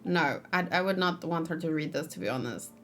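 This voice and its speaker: A woman doing casual let's play commentary, chatty and expressive, thinking out loud and reading game text aloud. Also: deep voice, fairly quick, faint music behind.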